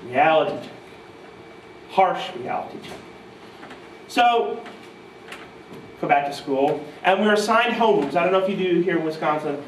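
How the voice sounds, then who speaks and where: A man in his thirties from New York state, telling animated, self-mocking stories to a live audience at a guitar clinic. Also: on the high side, normal speed, pauses often, a little hiss or hum. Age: 30s. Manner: animated, self-mocking, mimics voices in quotes. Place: music store clinic room, audience present. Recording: roomy live sound from far off.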